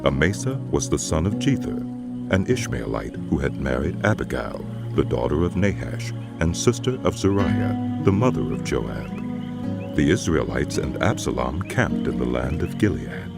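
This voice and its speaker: Low creaky-voiced guy narrating the bible, solemn and measured but really animated, music behind him. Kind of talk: scripted dramatic Bible narration.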